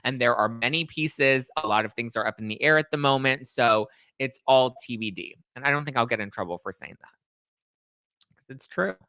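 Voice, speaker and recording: A man's voice: medium pitch, moderate pace, pushed and bright, no room sound. A man in his late twenties hosting a live stream, reading viewer comments aloud and answering them in a candid, chatty way. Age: 20s. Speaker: podcast host reading live chat. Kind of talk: casual live-stream chat.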